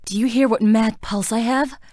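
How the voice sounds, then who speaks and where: A woman's voice: a little high, steady tone, normal speed, a little mellow, no room sound, clean studio sound, lightly acted. An actress playing a frail, melancholy young woman in a plague-stricken town, delivering dramatic video game lines in a wistful, languid, theatrical way. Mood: anguished, wistful.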